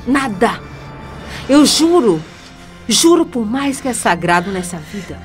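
A woman's voice: fairly high pitch, big pitch swings, measured pace, soft background music. Actress as a defiant, scornful young Brazilian woman. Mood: pleading, weary. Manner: theatrical, taunting. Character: defiant young female student, scornful, self-righteous blackmailer. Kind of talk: radio soap opera acting.

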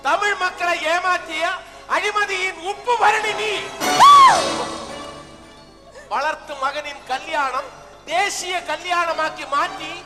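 Ghostly leader, theatrical scolding, very high pitch, stage comedy, echoing hall, music behind. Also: male voice, fairly even intonation, unhurried. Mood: accusing. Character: returning ghost of bombastic male Tamil film-star leader, scolding. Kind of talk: stage comedy skit acting.

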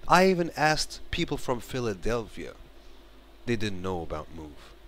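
Man, 30s, from Morocco; earnest home-vlog delivery on a headset, fairly low pitch, halting restarts. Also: sing-song, a bit bright, slight background noise. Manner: earnest, repeats and restarts phrases, gestures while talking. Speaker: vlogger. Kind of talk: casual spoken commentary to camera. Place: bedroom, small indoor room. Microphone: headset mic.

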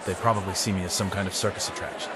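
A man's voice: sits low, fairly even intonation, very fast, very noisy. A man reading first-person scripted narration in a brooding, sardonic manner. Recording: music under the voice. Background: music.

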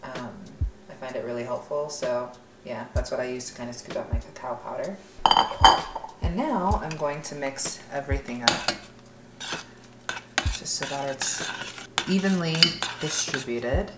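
A woman's voice: rumbling low, a little slow, pauses often, very noisy. A young woman giving a casual, informal cooking demonstration, talking through each step as she works. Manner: informal, narrating each step while working. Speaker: vlogger demonstrating a recipe. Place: home kitchen, indoors. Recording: echoey room with clear kitchen clatter.

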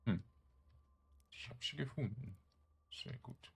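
A man's voice: mid-range voice, slow, vocal fry, fairly bright tone, breathy edge. A man giving casual gameplay commentary, thinking aloud in short, loose fragments. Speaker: gamer streaming a let's play.

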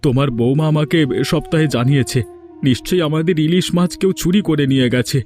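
Man acting higher-voiced dutiful village son. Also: fast talker, darkish tone, faint music behind, close to an everyday voice. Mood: reassuring. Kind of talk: Bengali cartoon dialogue.

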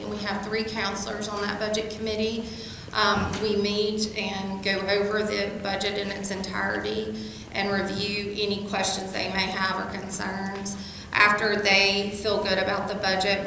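A woman city clerk explains a budget process in an even, procedural way, filling pauses with ums. Her voice holds a flat, narrow pitch. It rings through a large, reverberant council chamber with clear room noise behind it. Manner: steady, procedural, with frequent ums. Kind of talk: meeting procedure and budget presentation. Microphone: desk mic.

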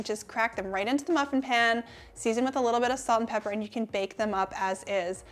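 Upbeat woman sharing cooking tips. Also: on the high side. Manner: upbeat, friendly explainer. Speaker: cooking channel host. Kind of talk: scripted tips to camera. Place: home kitchen, indoors.

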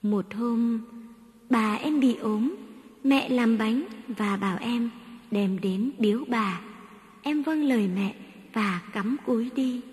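A woman tells a children's fairy tale in a gentle, unhurried reading voice set fairly high. Her words carry a long reverberant tail. Soft music plays behind the narration. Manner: slow, gentle, clearly paced reading. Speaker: storyteller.

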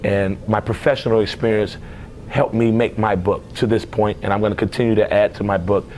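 An American man in his forties talks in a fairly low voice, like a coach being interviewed. His delivery is emphatic, with repeated phrases for stress, over a faint low rumble. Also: fairly even intonation, a little fast, darkish tone, low-quality recording. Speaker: assistant basketball coach. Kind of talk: on-camera interview about his career. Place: indoor athletics office, seated before camera.

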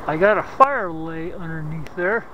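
A middle-aged man vlogging outdoors in snowy woods, talking to a handheld camera in a halting way, often repeating his words. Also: fairly high pitch, highs cut off, heavy background noise. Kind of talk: casual bushcraft walkthrough. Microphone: handheld camera at arm's length.

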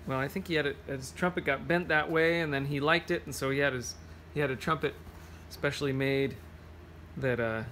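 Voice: mid-range voice, a little fast, a bit bright, slight background noise. A person reading a picture book aloud to children, playful, with singsong rhymes, scat sounds and casual explanations.

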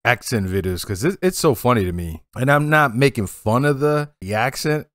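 A man in his forties with a Boston accent, hosting a casual reaction video, laid-back and laughing a lot, recorded on a headset boom mic at home. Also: expressive, moderate pace, fairly bright tone, no echo. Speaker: reaction channel host. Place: home streaming setup, indoors.

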